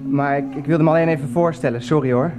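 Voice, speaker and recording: A man's voice: a little high, fairly even intonation, quick pace, close to an everyday voice. A man playing a timid, overly polite lodger in a radio play, apologetic, deferential and hesitant. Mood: timid, eager.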